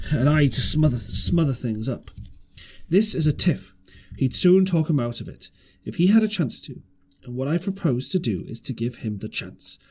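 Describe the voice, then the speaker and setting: Man reading as a resolute sea captain, swooping pitch. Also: mellow, breathy edge. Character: grave, resolute male sea captain in command. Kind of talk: storybook character reading.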